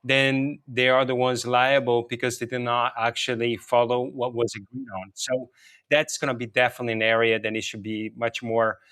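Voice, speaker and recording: A man's voice: mid-range voice, level tone, moderate pace, fairly bright tone, clean tone. A middle-aged man from Brazil with a Brazilian accent, a native Portuguese speaker, answering interview questions in a conversational, explanatory way with "you know" sprinkled in. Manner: explanatory, often says "you know" and "right". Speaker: interview guest, technology and payments executive.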